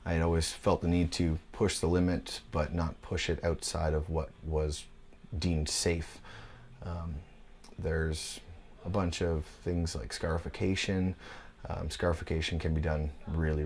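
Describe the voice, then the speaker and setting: A man in his thirties talks for a documentary interview in his tattoo shop. His voice sits very low and stays flat, with little rise or fall. He talks in a laid-back, unhurried way and often pauses on "uh". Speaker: tattoo and piercing shop owner.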